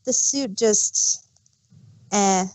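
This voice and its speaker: A woman with a mid-pitched voice chats informally, in an unscripted conversational style. The sound is close and very dry, with no room echo. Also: very slow, a little mellow. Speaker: podcast host. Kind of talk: casual chat.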